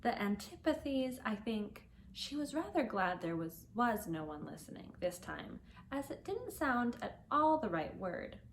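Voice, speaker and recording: A woman reading a children's story aloud as a teacher would, with expressive, deliberate phrasing for learners. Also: on the high side, slight background noise.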